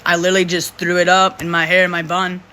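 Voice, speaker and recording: A person in their thirties vlogs to camera with a forceful, bright-edged voice that they describe as deep. They are emphatic and repeat themselves, circling back and restarting phrases as they press a point. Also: little pitch movement, fast talker, smooth. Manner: speaks in a self-described deep voice. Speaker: vlogger speaking to supporters. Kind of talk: casual monologue to camera.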